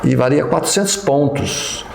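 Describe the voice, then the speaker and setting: A man's voice: on the high side, moderate pace, vocal fry, a little mellow, reverberant. A middle-aged man with a Brazilian Portuguese accent, a pastor and professor recalling his past in an interview, talking hesitantly with many fillers and restarts. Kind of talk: reminiscing interview.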